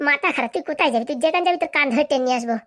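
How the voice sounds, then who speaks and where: A woman's voice: sits high, racing, a bit bright, faint music behind. A woman voicing a bossy, scheming village housewife in a comic cartoon, with brash, scolding, rustic speech.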